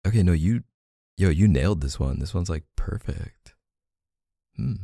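Man acting as deep, gravelly, teasing boyfriend. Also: animated, dry recording, studio quality, exaggerated voice. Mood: playful, focused. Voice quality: low, gravelly, soft. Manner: teasing, murmuring, hesitant pauses and hums. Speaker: audio roleplay performer. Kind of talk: intimate ASMR boyfriend roleplay.

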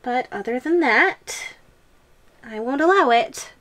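A woman acting an obsessive, sadistic giantess girlfriend in an ASMR audio roleplay, speaking in a coy, drawn-out way. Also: sits high, animated, fairly bright tone, heavily acted. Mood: sweetly controlling. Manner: coy, drawn-out, giggly delivery. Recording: music under the voice.

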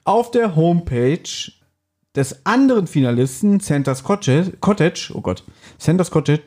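A man's voice: on the high side, animated, moderate pace, mellow. A man, co-hosting a podcast and retelling a story in casual conversation. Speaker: podcast co-host. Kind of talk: casual podcast chat retelling an audio drama.

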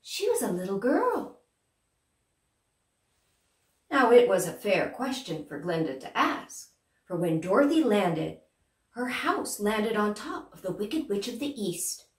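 A gracious good witch, played by a young woman, tells a storybook tale to small children. The delivery is expressive and deliberate, at a medium pitch. It is picked up from a distance in an echoey room. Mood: gentle storytelling. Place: small plain room indoors. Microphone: far camera. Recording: roomy, distant microphone.

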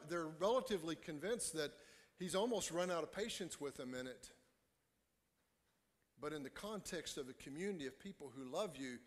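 A middle-aged man preaching a sermon as a pastor, earnest and deliberate, with pauses between phrases. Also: on the high side. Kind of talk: sermon to a congregation. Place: church stage, indoors. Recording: echoey hall with long reverb.

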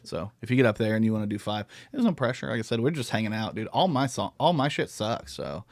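A man's voice: fairly low pitch, expressive, fast talker, no echo. A man in his thirties hosting a podcast, chatting casually and joking at his own expense on a studio mic. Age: 30s. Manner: joking, rambling, self-deprecating. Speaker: podcast host. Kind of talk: casual chat. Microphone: studio mic with headphones.

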